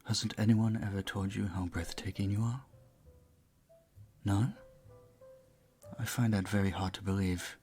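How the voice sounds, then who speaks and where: A man's voice: lowish voice, fairly even intonation, a little slow, pauses often, a little mellow, slightly rough, faint music behind. An actor playing a charming, flirtatious vampire bartender in an intimate audio roleplay, suave and teasing as he speaks to the listener.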